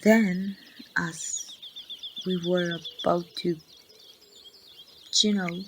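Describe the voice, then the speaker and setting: Woman acting as grieving young woman, wistful ASMR roleplay, very slow halting delivery, lowish pitch, birdsong and noise behind. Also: steady tone. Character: grieving young woman confiding in lost best friend. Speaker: amateur voice actor.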